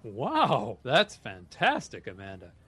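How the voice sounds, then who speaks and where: A man playing a big, amiable radio reporter in a scripted comedy drama, with broad, expressive delivery. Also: mid-range voice, takes it slowly, husky, heavily acted. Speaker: radio co-host.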